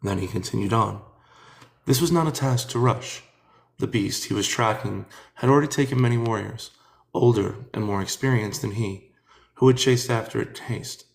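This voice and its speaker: A man narrates fantasy fiction in a lowish voice with a strong gravelly creak. His storytelling is measured and expressive, in a dramatic audiobook style.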